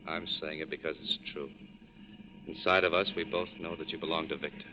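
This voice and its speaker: A man's voice: rumbling low, little pitch movement, brisk, highs cut off. A man playing a world-weary, resolute tough guy in a 1940s radio drama. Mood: firm, wistful. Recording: noisy vintage radio broadcast recording.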